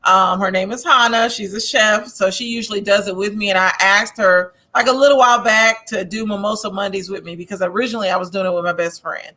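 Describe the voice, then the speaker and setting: Female, American, sharp bright tone, chatty and giggly, recapping like gossip among friends. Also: mid-range voice, steady tone, brisk, few pauses. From United States. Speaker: online video channel creator. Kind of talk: casual chat, gossipy recapping.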